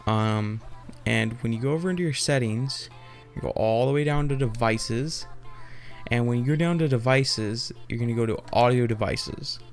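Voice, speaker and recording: A man's voice: mid-range voice, normal speed, faint music behind. A man hosting a casual, unscripted tutorial, explaining in an informal, rambling and hesitant way. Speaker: tutorial host.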